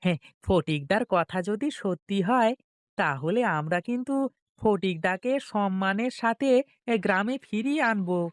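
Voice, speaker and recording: A woman's voice: medium pitch, lively intonation, unhurried, no echo, clean studio sound, close to an everyday voice. A woman voicing a younger village farmer in a cartoon, eager, respectful and hopeful, with small hesitant lead-in sounds. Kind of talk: Bengali cartoon story dialogue.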